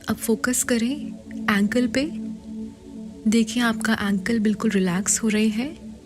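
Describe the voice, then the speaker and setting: Slow, soft Indian woman guiding a meditation. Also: a little high. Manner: soft, soothing, instructional, often dropping into a breathy whisper. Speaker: guided meditation host. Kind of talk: guided meditation narration. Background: background music.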